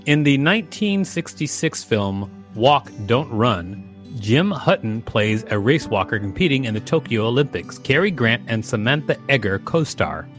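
Mid-pitched man reading articles over light music. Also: very animated, normal speed, fairly bright tone. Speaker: podcast co-host. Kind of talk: reading an encyclopedia article aloud with casual asides.

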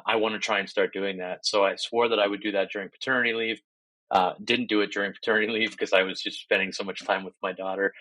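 Man, fairly low voice, bright tone, dry close sound, casual guest, relaxed and self-deprecating. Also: no echo, poor audio. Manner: relaxed, self-deprecating, frequent ums and restarts. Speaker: podcast guest. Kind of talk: casual interview talk.

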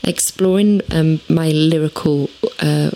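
A woman musician answering interview questions, thoughtful and halting, with many fillers and casual swearing. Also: deep voice, fairly even intonation, mellow, low-quality recording. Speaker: musician, band lyricist.

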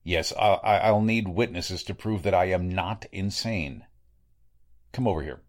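A man performs a blunt male medical examiner in a vintage radio drama. His voice sits fairly low. He is grave and deliberate, weighing out his lines. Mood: grave, uneasy. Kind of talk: 1940s radio drama acting.

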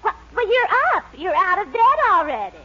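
An actress playing a scandalized, gushing busybody neighbor, incredulous, in 1940s radio sitcom style. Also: female voice, extremely high pitch, big pitch swings, moderate pace, bright, forceful tone, full character voice. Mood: shocked. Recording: dull 1940s radio, faint audience noise.

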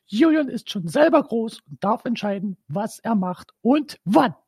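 A woman's voice: fairly high pitch, expressive, a little slow, a little mellow, breathy edge, no echo, clean studio sound, exaggerated voice. A woman voicing a stern, strict mother who scolds. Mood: scolding.

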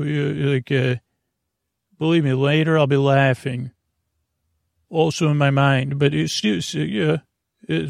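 A man hosting a bedtime podcast, rambling and halting as he loses his thread, with gentle, silly deadpan humor. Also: fairly high pitch, gravelly, no echo, studio quality. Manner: meandering, halting, often losing his thread. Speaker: podcast host.

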